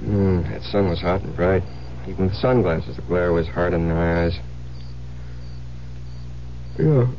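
A weary, easygoing husband in a vintage radio drama, low and very slow with a gravelly creak. His sleepy murmur drifts up and down on a dull old recording. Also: a little hiss or hum. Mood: relaxed, weary. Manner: slurred, drawn-out, sleepy murmuring.

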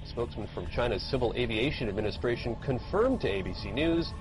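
A man delivering a broadcast news report in a brisk reporting tone. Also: medium pitch, expressive, music in the background, rough recording.

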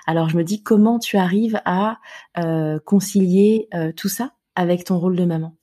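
A woman's voice: lowish voice, normal speed, mellow, husky. A woman interviewer in a casual conversation, engaged and informal, feeling her way through her phrases.

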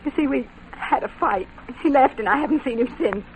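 A woman's voice: high voice, fairly quick, lightly acted. A woman playing a worried, pleading wife in an old-time radio drama, earnest and anxious. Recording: slightly noisy old-time radio recording.

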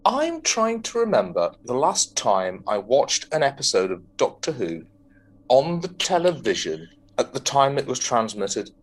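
A man with an ordinary mid-range voice co-hosts a relaxed podcast chat. He pauses often with ums and thinks aloud as he speaks. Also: mid-range voice. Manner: hesitant, lots of ums. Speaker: podcast co-host. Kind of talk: casual chat.

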